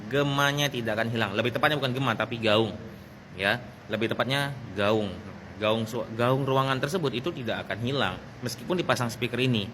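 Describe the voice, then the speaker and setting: A man's voice: medium pitch, a little fast, a bit bright, slight background noise. A man gives a casual, conversational explanation as a vlog host, repeating phrases for emphasis. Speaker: sound technician and video host.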